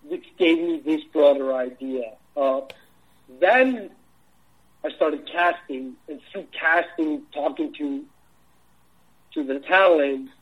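A man from Iceland with an Icelandic accent, a film director answering interview questions in a halting way, full of restarts. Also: fairly high pitch, expressive, fairly bright tone, slightly rough, low-quality recording. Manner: halting, many restarts and fillers.

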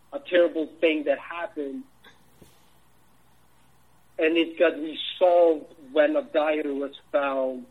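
Halting Icelandic man, husky and lo-fi. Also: on the high side. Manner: halting, many restarts and fillers. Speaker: film director. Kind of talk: interview answers.

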